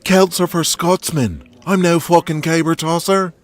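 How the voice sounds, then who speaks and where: A man's voice: on the high side, fairly even intonation, unhurried, pitched above the performer's own voice, close to an everyday voice. A man voicing a furious, foul-mouthed, aggressive Irish wrestler in an audio drama. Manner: aggressive, insulting.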